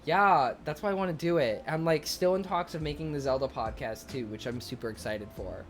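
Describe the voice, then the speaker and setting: Excitable young man chatting on stream. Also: a little high, brisk, slight background noise. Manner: excitable, rambling, many fillers. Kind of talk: casual chat reading viewer comments.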